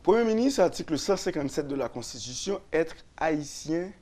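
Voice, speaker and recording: A man, a lawyer and radio guest, explaining constitutional articles slowly, repeating and restarting phrases. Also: on the high side, expressive, crowd noise. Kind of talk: explaining constitutional law on a radio talk show.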